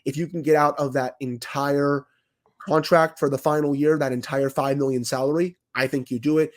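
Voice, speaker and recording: A man on a sports podcast talks through a cold, his fairly high voice a little raspy. He gives strong opinions packed with statistics, then hedges them carefully. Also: steady tone, moderate pace. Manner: opinionated, careful hedging, stats-heavy. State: has a cold, slightly raspy. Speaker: podcast co-host, hockey analyst. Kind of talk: casual sports podcast talk.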